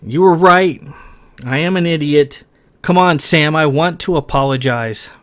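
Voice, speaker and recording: A man's voice: on the high side, a little slow, pitched above the performer's own voice, natural voice. A man reading a story, voicing a young man who pleads and apologizes in raised, stumbling bursts. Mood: pleading.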